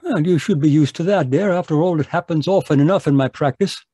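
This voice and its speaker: Man playing a husky, stuffy Victorian doctor. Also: fairly high pitch, fairly quick, warm tone, no room sound, studio quality. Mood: indulgent teasing. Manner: pompous, hesitant, patronising. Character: stuffy, condescending Victorian gentleman doctor, fond husband. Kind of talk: old-time radio mystery acting. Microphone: video call, close and dry.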